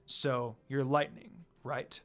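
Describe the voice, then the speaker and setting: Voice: medium pitch, flat delivery, slow, faint music behind, pitched below the performer's own voice. A woman voicing a proud, commanding male demon lord in a story reading, with a formal, declaiming manner. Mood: calm, grateful.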